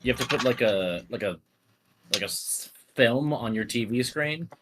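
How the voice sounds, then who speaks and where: A man chatting casually in a hesitant, halting way, padded heavily with filler words. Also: medium pitch, expressive, a bit bright. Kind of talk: casual group chat.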